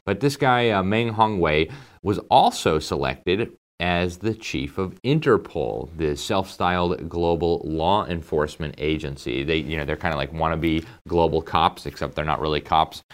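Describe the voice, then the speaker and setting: A man's voice: rumbling low, normal speed. A man hosting a political commentary show, talking emphatically and conversationally, with fillers and asides. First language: English. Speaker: host.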